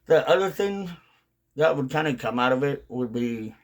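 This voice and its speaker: A man's voice: medium pitch, lively intonation, moderate pace. A man talking casually and unscripted as a video creator, rambling and often repeating or rephrasing his points. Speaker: video creator on a dipping tobacco channel. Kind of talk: casual unscripted vlog monologue.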